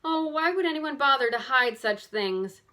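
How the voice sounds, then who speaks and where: Woman putting on an impatient, high-pitched, dramatic teenage girl. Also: lively intonation, moderate pace, sharp tone. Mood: let down. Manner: exclaiming, plaintive. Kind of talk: animated children's mystery storybook reading.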